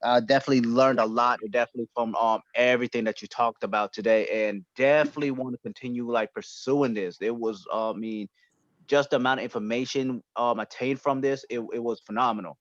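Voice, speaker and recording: A man's voice: mid-range voice, fairly even intonation, dry recording. Hesitant man giving call feedback. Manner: halting, many fillers, repeats "definitely". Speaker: caller, guest on the call. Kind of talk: casual spoken testimonial. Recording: dry, dull call audio.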